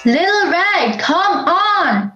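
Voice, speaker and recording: A woman playing a brisk, impatient, nagging mother in an audio drama. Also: very high-pitched, very animated, pushed and bright, roomy sound, highs cut off, soft background music, full character voice. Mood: nagging, calling.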